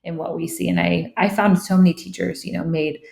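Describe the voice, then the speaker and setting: A woman's voice: fairly low pitch, level tone, brisk, vocal fry, darkish tone. A woman hosting a podcast interview, enthusiastic and affirming, talking in a loose conversational way with many fillers. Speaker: podcast host. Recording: echoey room.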